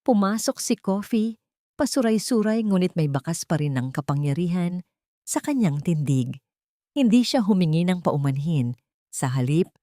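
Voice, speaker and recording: A woman narrating a scripted dramatic story with solemn, deliberate phrasing. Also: sits low, warm tone, no room sound, clean studio sound.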